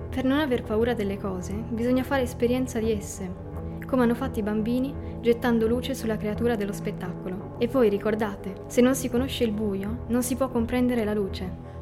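A woman playing the Dark itself, speaking in solemn, poetic riddles in a scripted radio sketch. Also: a little high, steady tone, normal speed, close to an everyday voice. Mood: solemn, mysterious. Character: the Dark personified, enigmatic, calm, poetic. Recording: music under the voice.

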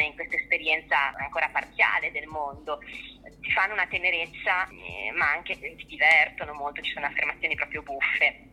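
A woman's voice: low voice, bright, forceful tone, low-quality recording. A woman giving thoughtful, explanatory answers in an interview about her work as a theatre artist. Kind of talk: reflective spoken interview answers. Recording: music behind the voice. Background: music.

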